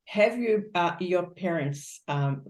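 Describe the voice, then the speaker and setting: A woman hosting a casual interview, asking questions haltingly with many fillers. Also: on the low side, lively intonation, a little mellow. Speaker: host.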